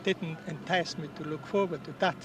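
A man in his late seventies, born in Austria, speaking in an earnest, halting way with restarts, interviewed outdoors at a public ceremony. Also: a little high, steady tone. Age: 70s. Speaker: war veteran interviewee. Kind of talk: outdoor interview, recounting memories. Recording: distant mic, noisy outdoors.

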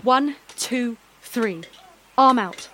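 A woman narrating a scripted fantasy story in a measured, dramatic storytelling manner. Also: a little high, lively intonation, bright, forceful tone, a little hiss or hum.

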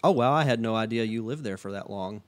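A man hosting a casual podcast interview, relaxed and chatty, often restarting his sentences. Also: lowish voice, fast. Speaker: podcast host.

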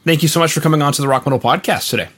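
A man hosting a podcast, chatting casually with his guests in a measured, deliberate way. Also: on the high side, a bit bright. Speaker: podcast host.

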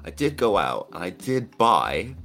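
A man's voice: fairly low pitch, sing-song, measured pace, a bit bright. A man co-hosting a podcast, playful and self-mocking. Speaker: podcast co-host. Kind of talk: casual podcast chat.

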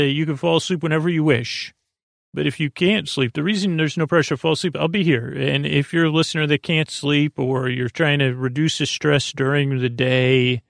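A man hosting a bedtime podcast in a gravelly voice, rambling hesitantly and repetitively in a monologue meant to lull listeners to sleep. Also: mid-range voice, no echo, studio quality. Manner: meandering, hesitant, repetitive, with murmured asides. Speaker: podcast host.

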